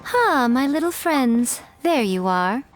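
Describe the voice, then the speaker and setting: A sly, self-important lady of elegance in an anime game. The voice is fairly high and very melodic, teasing and smug with refined phrasing. Music plays softly underneath. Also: big pitch swings, full character voice. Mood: playful. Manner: playful, smug, drawn-out and refined.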